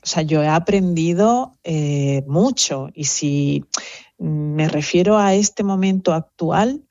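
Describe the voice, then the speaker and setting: A middle-aged woman with a Venezuelan accent, speaking thoughtfully as an interview guest, pausing with fillers as she reflects. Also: deep voice, expressive, mellow, dry recording, muffled recording. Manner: reflective, earnest, with fillers and restarts. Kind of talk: conversational interview.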